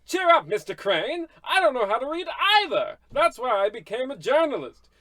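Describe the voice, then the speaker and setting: Brash, headline-hungry newspaperman, male actor: very high, bright voice, excitable patter, radio comedy play. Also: normal speed, dry recording, full character voice. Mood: cheerful. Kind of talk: old radio comedy acting.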